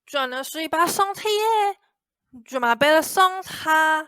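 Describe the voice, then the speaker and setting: A woman's voice: sits high, lively intonation, slow, sharp tone, exaggerated voice. A woman playing a put-upon young servant girl, a plaintive Cinderella with some exasperation, in a student radio play. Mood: earnest. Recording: music behind the voice.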